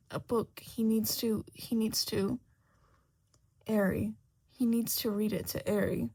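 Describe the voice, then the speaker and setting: Expressive woman narrator with a husky creak. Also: mid-range voice. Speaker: podfic narrator. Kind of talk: dramatic fan fiction narration.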